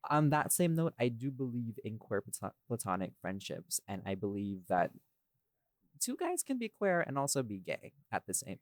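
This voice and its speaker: A man's voice: mid-range voice, big pitch swings, normal speed, no echo, studio quality. A man chatting casually as a podcast host, rambling and opinionated, with some casual swearing. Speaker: podcast host and audio drama writer.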